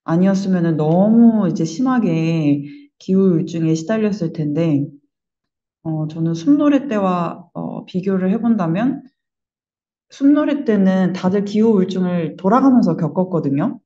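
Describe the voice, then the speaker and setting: A woman with a lowish, very mellow voice talks reflectively, as if in an interview. She is thoughtful and often halts mid-phrase to search for a word. The recording is slightly dull and muffled. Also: animated, normal speed. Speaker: member of an art production team.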